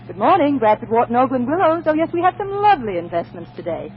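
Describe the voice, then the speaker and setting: A woman's voice: on the high side, sing-song, quick pace. An actress playing a pleading, sweetly scheming young lady seeking office work in a 1940s radio comedy, on a muffled, noisy recording. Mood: pleading, coaxing. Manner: pleading, flustered, repeats herself.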